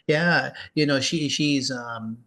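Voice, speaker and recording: A man's voice: medium pitch, slow pace, sharp tone. A man chatting casually as an interviewer, earnest and rambling, often repeating the same filler tags. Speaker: cartoonist interviewing a peer.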